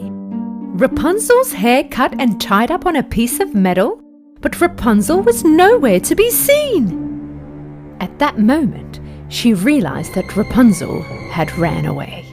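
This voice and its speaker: Expressive woman narrating fairy tales, high lively pitch. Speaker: storyteller. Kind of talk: scripted children's fairy tale narration.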